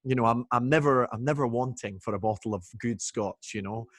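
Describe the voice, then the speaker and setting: A man's voice: medium pitch, a little fast, dry recording, studio quality. A Scottish man, a guest on a video call, talking about whiskey in an easygoing, chatty way with plenty of fillers. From Scotland. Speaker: whiskey brand ambassador, guest. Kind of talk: casual interview.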